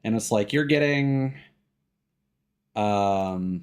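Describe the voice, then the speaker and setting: Low-voiced man chatting on a game stream. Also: drawn-out words, clean tone. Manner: rambling, opinionated. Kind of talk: casual livestream chat.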